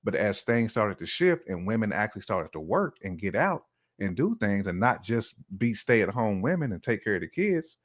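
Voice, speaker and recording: A man's voice: fairly low pitch, very animated, normal speed. A man giving his opinions in a casual, conversational discussion, repeating words for emphasis as he makes his points.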